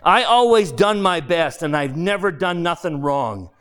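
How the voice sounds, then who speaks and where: A man's voice: fairly high pitch, lively intonation, a little slow, a bit bright, pitched above the performer's own voice. A man voicing the sulky, indignant ghost of a self-righteous working man in a storybook, blustering and aggrieved in slangy speech. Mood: aggrieved, insistent. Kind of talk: dramatised audiobook character voice.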